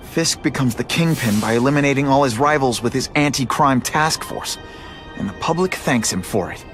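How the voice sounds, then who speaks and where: An actor playing a young, quippy and earnest American superhero in a video game. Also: male voice, medium pitch, animated, moderate pace, fairly bright tone, close to an everyday voice. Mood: thoughtful resolve. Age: young adult. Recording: music behind the voice.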